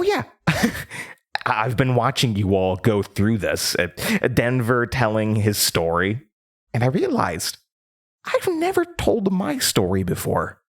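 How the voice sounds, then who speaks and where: A slow, creepy word-hungry entity. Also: male voice, mid-range voice, wildly swooping pitch, husky, exaggerated voice. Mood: gleeful, taunting. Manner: theatrical, giggling, savoring words. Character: gleeful, unsettling word-devouring entity named Sally, speaking through a man. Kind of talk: horror audio drama monologue.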